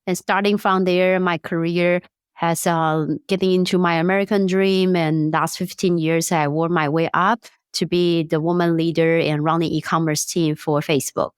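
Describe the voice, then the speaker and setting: Taiwanese woman, late 30s, startup founder guest; smooth, clear voice, dry close recording. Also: fairly low pitch, moderate pace, no echo. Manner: non-native grammar, lively storytelling. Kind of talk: interview conversation.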